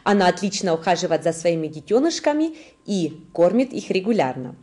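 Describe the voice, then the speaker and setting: A woman's voice: fairly low pitch, lively intonation, a little fast, darkish tone. A woman in her thirties reading scripted news in a formal manner as a presenter in a studio. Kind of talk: scripted newsreading. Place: indoor studio.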